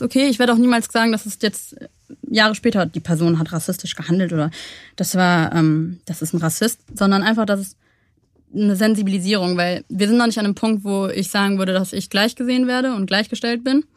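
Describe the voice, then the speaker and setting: A young German woman answering interview questions in a considered, measured way. She often pauses and restarts as she works out her thoughts. Her voice sits at a middle pitch and the recording is dry and close. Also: steady tone, no room sound. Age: young adult. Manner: thoughtful, measured, frequent hesitations. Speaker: interview guest. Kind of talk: reflective interview talk.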